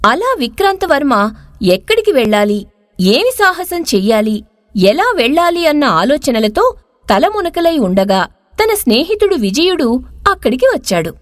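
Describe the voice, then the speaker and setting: High-pitched woman narrating a story. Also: big pitch swings, unhurried, no room sound, clean studio sound. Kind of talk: scripted narration.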